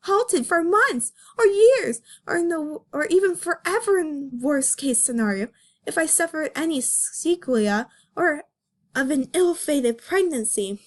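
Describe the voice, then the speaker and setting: A woman's voice: high-pitched, lively intonation, a little slow. A woman voicing an anguished young female rabbit cop, melodramatic and pleading, in an amateur comic reading. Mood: earnest.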